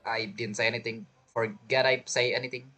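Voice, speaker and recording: A man giving casual reaction commentary over a game as a streamer, blunt, jokey and halting. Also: mid-range voice, flat delivery, bright, forceful tone, faint music behind. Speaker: gaming streamer.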